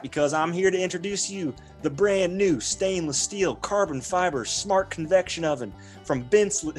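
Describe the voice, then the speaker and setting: A folksy, overeager dad acted by a man delivers emphatic sales patter in a comedy ad skit. His voice is pushed up in pitch, with music behind it. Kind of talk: podcast parody ad skit.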